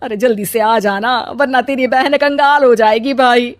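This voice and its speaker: A woman's voice: on the high side, fairly even intonation, moderate pace, natural voice. A woman playing a bitter, plotting older mother-in-law in a cartoon story, whining and scolding dramatically. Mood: aggrieved. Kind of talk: Hindi cartoon story acting.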